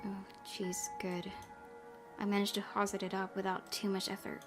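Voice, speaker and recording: A woman's voice: medium pitch, monotone, moderate pace. A woman game streamer reading a visual novel aloud with casual commentary. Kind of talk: let's play narration with casual commentary. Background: faint music.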